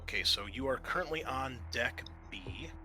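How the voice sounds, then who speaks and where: A man's voice: mid-range voice, expressive, normal speed, over music. A man acting as game master for a tabletop roleplaying game, explaining turns and narrating in a conversational, improvised way.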